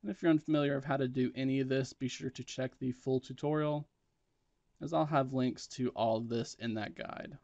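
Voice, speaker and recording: A man's voice: medium pitch, fairly even intonation, a little fast, vocal fry, a little mellow, no room sound, muffled recording. A man presenting a step-by-step tech tutorial in a plain, matter-of-fact instructional way.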